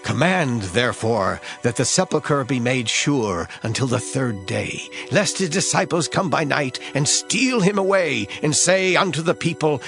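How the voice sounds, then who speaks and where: A man plays a scheming, insistent chief priest in a scripture audio drama. His voice is mid-pitched with a heavy gravelly creak, and his phrasing is formal and archaic. Music plays behind him. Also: a bit bright.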